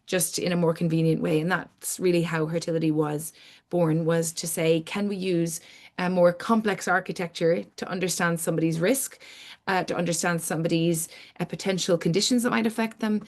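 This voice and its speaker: A middle-aged woman with a slightly low voice presents an online webinar as its host. She speaks informally, explaining in long sentences that build up lists of points. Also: steady tone, a little fast, a little mellow. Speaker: webinar host, academic scientist.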